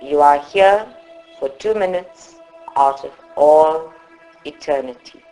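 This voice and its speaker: A woman from South Africa leading a guided meditation in a soothing, instructive manner, with long pauses between short phrases. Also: sits low, dark tone, soft background music, poor audio. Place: live group meditation session at a centre.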